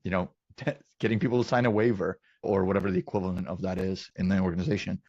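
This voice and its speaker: A man's voice: deep voice, steady tone, fast talker, darkish tone, husky, dry recording, lo-fi sound. A man hosting a casual business interview, talking in an encouraging, affirming way. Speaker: interview host, company founder.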